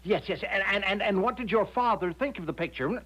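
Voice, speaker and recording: An actor playing a fussy, flustered, by-the-book legation secretary who stammers and repeats himself in a radio drama. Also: male voice, high voice, expressive, fairly quick, fairly bright tone. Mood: probing, fussy. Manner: stammering, repetitive, dithering, officious. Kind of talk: 1970s radio drama acting. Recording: dull lo-fi old radio broadcast.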